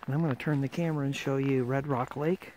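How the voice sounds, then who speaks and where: A man's voice: mid-range voice, fairly even intonation, fast, a little mellow, poor audio. A man filming a hike outdoors, casually announcing the places and the date from behind the camera. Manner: plainly announces places and dates. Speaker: hiker filming a trail video. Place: outdoors on a mountain trail by a lake and waterfall. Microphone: handheld camera mic. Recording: outdoor camera audio with noticeable background noise.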